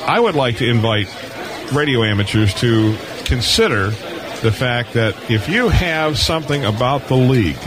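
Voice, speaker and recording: A man's voice: mid-range voice, lively intonation, normal speed, a crowd in the background. An American man hosting a casual live radio chat, talking in a folksy, rambling way and repeating words. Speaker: radio show host. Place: booth on busy convention floor. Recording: noisy convention hall floor, live broadcast.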